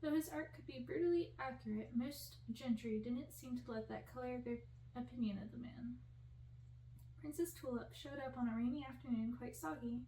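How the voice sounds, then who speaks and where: A woman's voice: a little high, moderate pace, mellow, slight background noise. A woman reading a fairy-tale novel aloud as scripted ASMR narration, recorded at a distance in a roomy space. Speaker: audiobook-style reader for ASMR channel.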